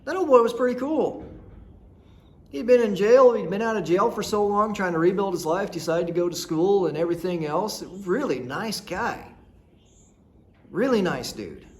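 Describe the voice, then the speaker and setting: A man's voice: high-pitched, animated, normal speed. A man in his thirties from rural Nebraska, telling personal stories in a blunt, folksy, rambling way. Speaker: storyteller host. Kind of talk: casual personal storytelling.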